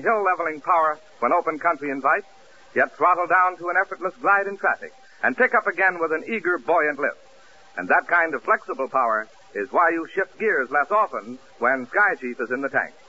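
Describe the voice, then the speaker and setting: Very bright, forceful 1940s radio announcer, male. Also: on the high side. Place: radio studio. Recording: muffled, hissy 1940s radio recording.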